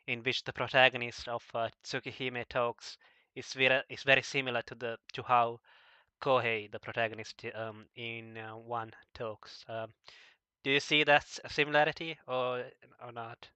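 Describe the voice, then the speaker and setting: A man with a very bright, clear voice hosts a casual discussion. He speaks haltingly, often restarting his words as he asks questions. The sound is dry and a little dull, like modest lo-fi audio. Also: mid-range voice, flat delivery, no room sound. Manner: hesitant, halting, often stumbling over words. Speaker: podcast host asking questions.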